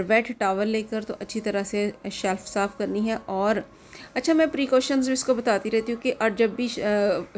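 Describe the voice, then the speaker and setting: A woman's voice: mid-range voice, a little mellow, a little hiss or hum. A middle-aged woman from Pakistan with a sore throat, chatting to viewers in a casual home vlog from her kitchen. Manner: chatty, homely, explaining to viewers. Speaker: vlogger.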